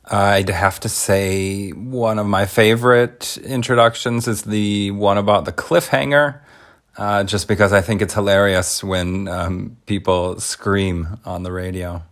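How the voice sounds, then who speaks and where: A man's voice: lowish voice, fairly even intonation, measured pace. A man presenting his own podcast in a casual, explanatory trailer voice-over.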